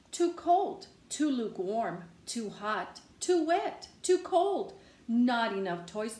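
A woman's voice: mid-range voice, a little mellow. A woman voicing a stubborn, defensive, dramatic pigeon from a children's picture book, stretching words with exclamatory mock indignation. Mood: exasperated, complaining. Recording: roomy indoor echo.

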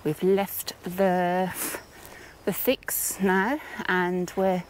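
Slow-talking woman vlogging outdoors. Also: lowish voice, level tone, a little mellow, slight background noise. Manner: wondering, unhurried musing in short phrases. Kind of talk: casual nature walk vlog narration. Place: outdoors in woodland.

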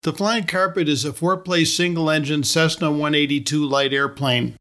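Middle-aged guy reading his flying story, measured and wry, slightly high voice. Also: steady tone, fairly bright tone. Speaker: podcast host and narrator. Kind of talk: scripted storytelling narration.

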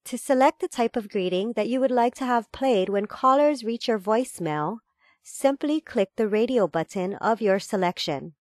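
A woman reading a scripted instructional voice-over in a measured, formal, step-by-step manner. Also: fairly high pitch, animated, no echo, clean studio sound. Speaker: tutorial narrator.

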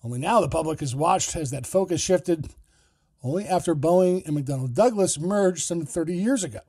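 Host-reporter, male, husky and mellow, dry close mic. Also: a little high, animated, no echo. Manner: measured, explanatory delivery. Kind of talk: scripted news narration.

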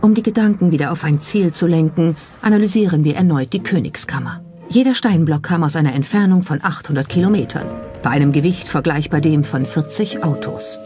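Documentary narrator, medium pitch, scripted read with some music behind. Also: a little fast, dark tone.

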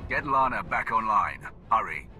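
An actor playing a ruthless dark emperor in a video game, speaking in curt, imperious commands. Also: male voice, fairly low pitch, animated, normal speed, over loud music, natural voice. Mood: urgent. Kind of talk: cinematic video game acting.

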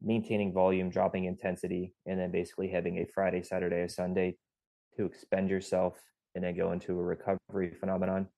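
Man, very low voice, gravelly texture, dry close sound, technical explainer, podcast co-host. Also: completely flat delivery, fast, mellow, dry recording, low-quality recording. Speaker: podcast co-host, physical therapist. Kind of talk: casual podcast discussion.

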